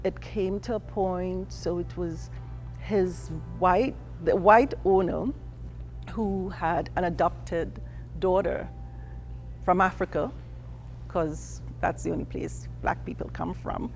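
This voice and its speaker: A middle-aged woman from Trinidad and Tobago tells her own story in an earnest, candid way. Her voice sits fairly low, and she slips into quick imitations of the people she quotes. Faint music plays under her words. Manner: earnest and candid, quoting people in lively asides. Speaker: interviewee. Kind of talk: personal testimony.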